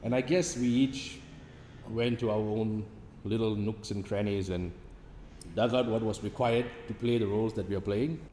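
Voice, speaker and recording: A man in a casual cast interview, talking haltingly and repeating words as he thinks. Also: on the low side, fairly even intonation, mellow. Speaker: stage play cast member. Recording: echoey hall, faint background noise.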